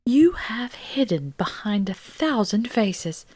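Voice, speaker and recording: A woman playing a grave, protective psychic master in an audiobook, speaking in a breathy, intense whisper. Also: medium pitch, very animated. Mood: grave, accusing. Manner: hushed, slow, intense. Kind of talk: dramatic audiobook character acting.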